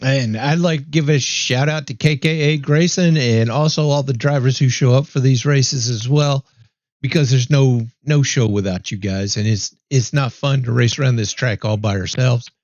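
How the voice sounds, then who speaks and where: A man hosting a race broadcast in a casual chat, easygoing and upbeat, his voice going hoarse. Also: medium pitch, moderate pace, a little mellow, dry recording. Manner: easygoing, upbeat, rambling with fillers. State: losing his voice, clearing throat. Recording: dry, dull lo-fi mic.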